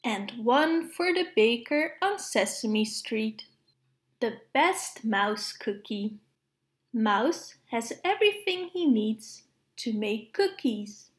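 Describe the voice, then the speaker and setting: A woman reading children's picture books aloud to preschoolers in an expressive, measured way. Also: a little high. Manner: expressive, measured phrasing with playful sound words. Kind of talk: storybook read-aloud for preschoolers.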